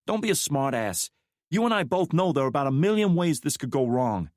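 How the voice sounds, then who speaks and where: A tough, sharp-tongued woman agent who presses hard with pointed questions, put on by a male narrator. The voice sits far higher than his own and rises further when she pushes. The sound is dry and close. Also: sing-song, fairly quick, no echo. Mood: confrontational. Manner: pointed, challenging, exasperated.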